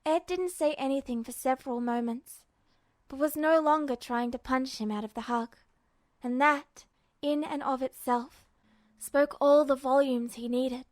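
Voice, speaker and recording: A woman reading fiction aloud as an expressive, scripted audiobook narration. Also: sits high, measured pace, dry recording. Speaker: fan fiction audiobook reader.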